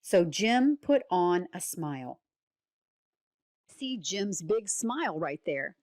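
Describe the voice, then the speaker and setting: A woman reads a children's picture book aloud at an unhurried pace. Her pitch moves around a lot as she explains things simply and puts questions to young listeners. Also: very animated, darkish tone. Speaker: read-aloud narrator.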